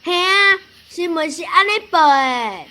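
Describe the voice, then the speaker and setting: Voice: high-pitched, animated, a little slow, sharp tone, a little hiss or hum, heavily acted. A performer playing an outspoken, earnest young village child in a radio drama, with a Taiwanese accent.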